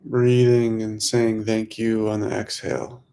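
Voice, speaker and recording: A man guides a meditation at a slow pace in a fairly low voice with a gravelly creak. He is soothing and unhurried, leaving long silences between short, simple phrases. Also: flat delivery, dark tone. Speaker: meditation guide, host of a weekly group.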